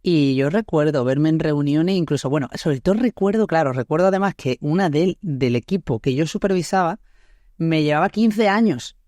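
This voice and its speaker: A woman's voice: low voice, expressive, normal speed, dark tone, no echo, studio quality. A woman in her thirties with an Andalusian accent from Málaga, hosting a podcast in a chatty, laughing way full of tag questions. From Andalusia, Spain. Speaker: podcast host. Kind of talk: casual chat.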